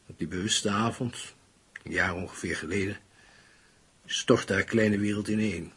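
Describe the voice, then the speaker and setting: An actor playing a grieving middle-aged father in a radio drama, formal and halting. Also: fairly low pitch, a bit bright, slightly rough, full character voice. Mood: wistful, sorrowful. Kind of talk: Dutch radio drama acting.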